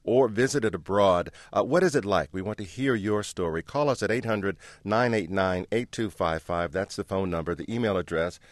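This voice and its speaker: Low-voiced man hosting a radio call-in. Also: no echo. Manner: measured, deliberate questioning. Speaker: radio talk show host. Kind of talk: public radio call-in interview. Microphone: studio microphone.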